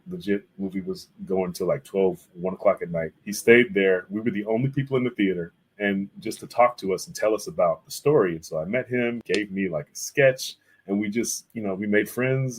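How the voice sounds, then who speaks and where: A man in his thirties with a low, slightly husky voice. He chats as a guest in a casual interview, spinning enthusiastic, meandering stories. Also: poor audio. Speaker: guest artist and art teacher.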